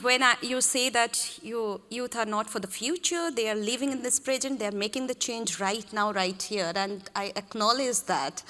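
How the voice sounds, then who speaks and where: A woman's voice: medium pitch, sharp tone. A woman moderating a conference panel, searching for her phrasing with many ums as she frames questions. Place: large conference hall stage. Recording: long hall reverb.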